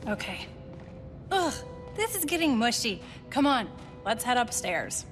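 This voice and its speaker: A teenage science whiz in a cinematic game. Her voice is slightly high, with a playful, wry and casual delivery between friends. Music plays clearly behind her. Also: very animated, measured pace. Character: bright, teasing teenage girl science whiz. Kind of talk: naturalistic video game acting.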